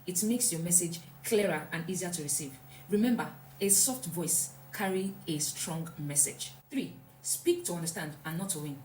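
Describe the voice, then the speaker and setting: An earnest woman gives reflective, motivational advice and often repeats herself for emphasis. Her voice sits fairly low. The sound is roomy and echoey, with a faint hum underneath. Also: normal speed, a little mellow. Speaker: vlogger.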